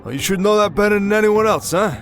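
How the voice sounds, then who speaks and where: A man's voice: sits high, fast, fairly bright tone, faint music behind. A man playing a jovial, sardonic mob boss in an ASMR audio drama, talking in casual slang with joking swagger. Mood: teasing, probing. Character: brash streetwise male mafia boss, jovial, sardonic.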